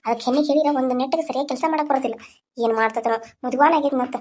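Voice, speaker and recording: A woman's voice: high voice, racing, a little mellow, slight background noise, close to an everyday voice. A woman voicing a harsh, scornful aunt who nags bitterly, with a North Karnataka Kannada accent. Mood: bitter scolding. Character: harsh scolding middle-aged aunt. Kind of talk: village family drama acting.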